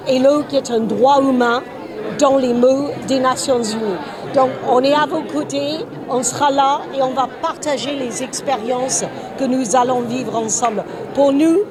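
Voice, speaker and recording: Female city delegate from France, notably high pitch, enthusiastic press remarks, busy outdoor crowd around her. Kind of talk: press statement.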